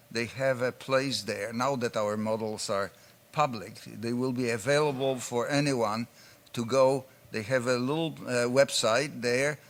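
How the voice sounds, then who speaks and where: A man's voice: mid-range voice, a bit bright. A man presenting at a conference, answering audience questions in an explanatory way with frequent fillers. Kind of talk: conference Q&A talk.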